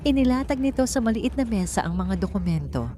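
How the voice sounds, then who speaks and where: A woman's voice: a little high, animated, quick pace, a crowd in the background. A woman reading a scripted romance story as a dramatic narrator.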